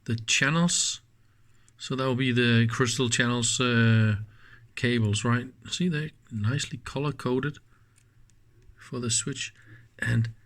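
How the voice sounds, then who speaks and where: A man with a mid-pitched voice talks slowly through a hands-on task. His commentary is casual and unscripted. He muses as he goes, halting and leaving thoughts unfinished. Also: fairly even intonation, fairly bright tone. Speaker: electronics teardown host. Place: indoors at a workbench.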